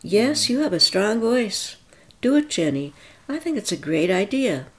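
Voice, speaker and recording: A woman voicing a tired, kind adult male ballet teacher who talks gently and carefully. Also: medium pitch, very animated, unhurried, voice pushed up. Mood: gentle.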